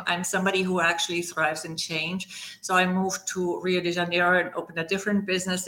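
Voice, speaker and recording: A woman from Germany speaks with a clear German accent and a somewhat low, bright, forceful voice. She is middle-aged and tells her story at length as a podcast guest, with fillers and restarts along the way. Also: poor audio. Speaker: guest, leadership coach. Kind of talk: podcast interview.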